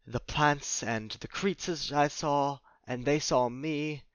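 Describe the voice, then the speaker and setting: A man playing a flustered, confused, nature-loving man who speaks haltingly in formal words in an audio drama. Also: a little high, clear, resonant tone, no room sound. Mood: dazed, awed. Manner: halting, stammering, formal wording.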